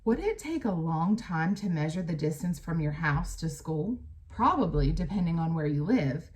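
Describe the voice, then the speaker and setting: Lower-pitched American woman teaching by reading aloud. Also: big pitch swings, moderate pace, darkish tone. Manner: plain, explanatory, addressing children directly. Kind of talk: read-aloud lesson with explanatory asides.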